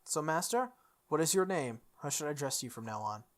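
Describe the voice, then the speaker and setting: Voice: medium pitch, lively intonation, brisk, close to an everyday voice. A player voicing a sardonic, formal spirit archer man. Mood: teasing. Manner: teasing, formal, sarcastic. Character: sardonic male heroic spirit archer servant, knightly.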